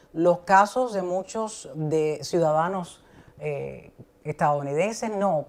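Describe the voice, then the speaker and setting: A woman from Cuba hosts an interview in a low, deep voice. She asks probing questions in an easy conversational way. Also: a little mellow. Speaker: host.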